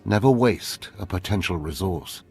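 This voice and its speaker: A stern Sith taskmaster in a video game. His voice is fairly low and rough, his phrasing clipped and authoritative, with little patience for anyone. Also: lively intonation, normal speed, faint music behind, full character voice. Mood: stern, approving. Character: stern, impatient male Sith overseer, ruthless mentor. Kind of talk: video game cutscene acting.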